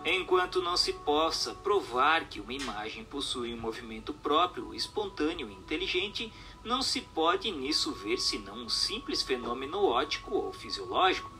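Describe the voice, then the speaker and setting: Animated, bright-voiced male narrator. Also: mid-range voice, normal speed, hardly any pauses, faint music behind. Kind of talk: scripted audiobook-style narration of a text.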